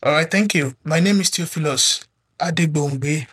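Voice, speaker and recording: Guest, man, a little high and husky, hesitant speech. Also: normal speed, a bit bright. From Nigeria. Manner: hesitant, with many fillers. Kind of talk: conversational testimony.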